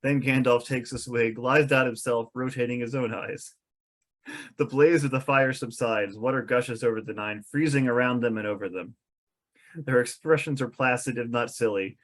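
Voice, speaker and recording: A man's voice: medium pitch, steady tone, normal speed. A man reading scripted stage directions as narrator at an amateur comedic table read.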